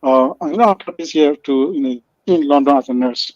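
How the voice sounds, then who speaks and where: Mellow-voiced male nurse on muffled line. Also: a little high, no room sound. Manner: measured, repeats words while building a point. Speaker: caller, nurse. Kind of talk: call-in radio talk.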